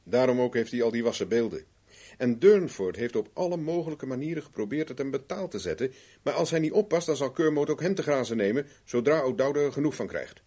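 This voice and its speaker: Husky, self-assured male investigator. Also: mid-range voice, animated, quick pace, warm tone. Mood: knowing, insistent. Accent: Netherlands Dutch. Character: self-assured male private investigator, shrewd, worldly, coolly protective. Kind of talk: 1970s Dutch radio play acting.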